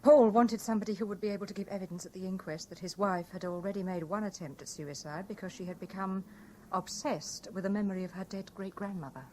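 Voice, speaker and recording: Actress doing a mistress confessing a dark scheme, mid-pitched, emphatic and stagey, bit of old radio noise. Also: female voice, quick pace, natural voice. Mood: cool, matter-of-fact. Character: anguished mistress confessing a cold murder plot. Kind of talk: 1970s British radio drama acting. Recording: noisy old radio broadcast recording.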